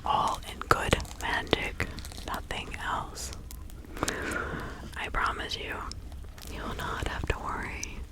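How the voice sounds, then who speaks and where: Woman playing a whispering, unhurried witch healer. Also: lightly acted, poor audio. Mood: reassuring, cautioning. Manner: slow, halting, reassuring, ritual phrasing. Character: reassuring 17th-century witch, a woman counselling an accused client. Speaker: ASMR role-play performer. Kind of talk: whispered ASMR role-play.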